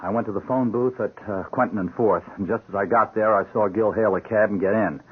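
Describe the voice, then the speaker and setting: An actor playing an earnest, composed police sergeant in a radio drama, explaining things with urgency. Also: male voice, on the low side, brisk, breathy edge. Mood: grim, composed. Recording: narrow-band hissy old radio recording.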